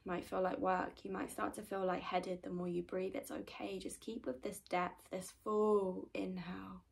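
Female meditation teacher, mid-pitched with noticeable fry, soft and soothing, often near a whisper. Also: flat delivery, moderate pace. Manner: gentle, soothing, often trailing into breathy whispers. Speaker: meditation guide. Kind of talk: guided meditation, soft-spoken and unhurried.

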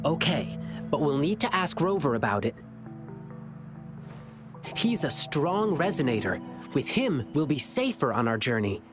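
Earnest, expressive young lion-eared boy. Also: sits low, unhurried, long pauses, music in the background. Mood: concerned, earnest. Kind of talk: scripted video game dialogue.

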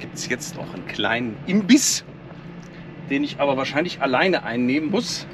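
A middle-aged man with a German accent talks to a handheld camera in a casual, wry way. His voice sits at an ordinary pitch. Steady vehicle and ship noise surrounds him. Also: normal speed. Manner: chatty, wry running commentary. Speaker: vlogger. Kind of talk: casual travel vlog. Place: camper van on a ferry deck and car lot. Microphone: handheld camera at arm's length.

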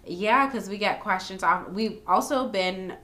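A woman's voice: medium pitch, measured pace, pushed and bright. Chatty New woman hosting a podcast. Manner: chatty, frank, with frequent fillers. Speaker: podcast host, daycare owner. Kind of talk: casual chat. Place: small home room.

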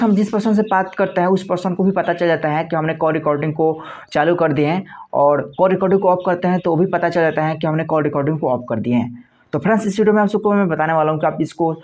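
A man narrates a tech tutorial. His voice sits a little high and he moves along quickly. He gives instructions in repetitive, step-by-step phrasing. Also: darkish tone. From India.

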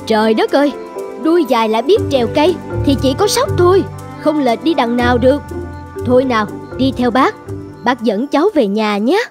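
A woman voicing a middle-aged, motherly rabbit in a cartoon, brisk, self-assured and caring. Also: sits high, lively intonation, over music. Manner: brisk, sure of herself, caring.

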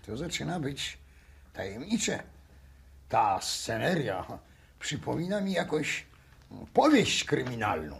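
An actor playing a polite, hesitant, conciliatory scientist in 1960s radio drama style. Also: male voice, mid-range voice, wildly swooping pitch, slow pace, creaky, pauses often, full character voice. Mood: uneasy. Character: hesitant, conciliatory male scientist, mildly uneasy.

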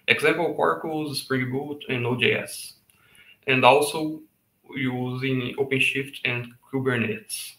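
Casting: man, thirties, meetup host, bright and forceful tone, echoey room, headset mic. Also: mid-range voice. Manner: reads haltingly with fillers. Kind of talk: reading a speaker introduction. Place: home room. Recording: echoey room on a video call.

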